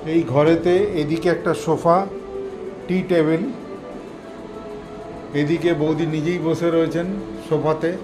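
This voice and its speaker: A man's voice: on the high side, flat delivery, takes it slowly, long pauses, over music. An older man casually narrating a walkthrough of a hotel room and grounds while filming on a phone, talking to viewers as friends. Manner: chatty, addresses viewers as friends. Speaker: travel vlogger showing a hotel. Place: hotel room and garden, indoors and outdoors.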